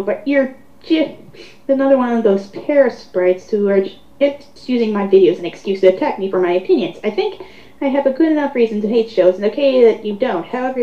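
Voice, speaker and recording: A woman reading online comments aloud in a sarcastic, theatrical way, with mocking commentary. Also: fairly high pitch. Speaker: host of a dramatic reading. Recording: roomy, muffled home recording.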